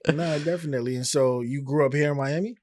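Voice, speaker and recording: A man's voice: a little high, fast, darkish tone, no echo, studio quality. A man hosting a podcast interview, asking questions and teasing his guest playfully. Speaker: podcast host. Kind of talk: casual interview chat.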